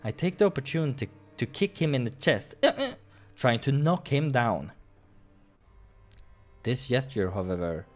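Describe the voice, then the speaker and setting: A man reading a visual novel aloud as a playthrough host, expressive in the storytelling with casual asides. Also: mid-range voice.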